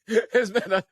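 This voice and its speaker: A man's voice: high-pitched, bright, forceful tone, breathy edge, no echo. A man with a Liberian accent hosting a podcast interview in a casual, laid-back way, often repeating words and laughing at himself. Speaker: podcast host.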